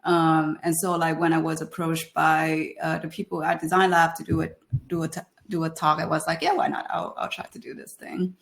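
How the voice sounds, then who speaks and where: A woman's voice: deep voice, level tone, moderate pace, creaky. A woman in her thirties from Vietnam with a Vietnamese accent, giving a webinar talk as a design leader, with many fillers and restarts. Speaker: guest speaker, design leader.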